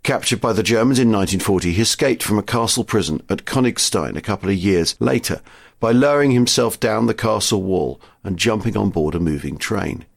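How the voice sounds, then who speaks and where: A man presents as host in scripted narration. His voice is fairly low, and the recording is dry with almost no room sound. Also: lively intonation, a little fast, gravelly, no room sound.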